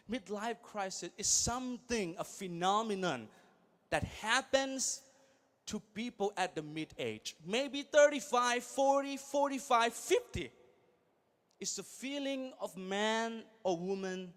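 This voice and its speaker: Energetic non-native English speaking man, thirties, high bright voice, rallying a crowd from the stage. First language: not English. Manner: energetic, swings from quiet to shouted. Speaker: guest speaker. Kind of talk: motivational stage speech. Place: large event hall.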